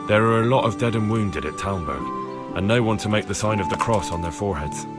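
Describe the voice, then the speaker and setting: A man's voice: on the low side, fairly quick, music in the background, close to an everyday voice. A man playing an earnest young squire in a medieval video game. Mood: earnest, businesslike. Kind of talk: video game dialogue acting.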